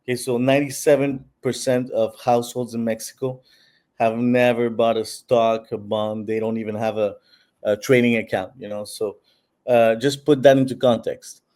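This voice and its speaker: A man's voice: mid-range voice, fairly even intonation, moderate pace, warm tone. A man from Peru, a company founder answering interview questions in an explanatory way with many fillers and restarts. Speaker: founder of a bitcoin platform.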